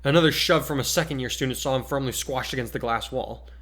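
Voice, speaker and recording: A man's voice: medium pitch, fast, a bit bright. A man narrating a story aloud as a dramatic reader of fiction.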